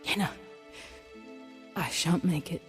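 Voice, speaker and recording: A woman playing a proud, dying sorceress in a video game, weak and halting but dignified. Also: lowish voice, faint music behind. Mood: dying, pleading. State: weak, fading breath.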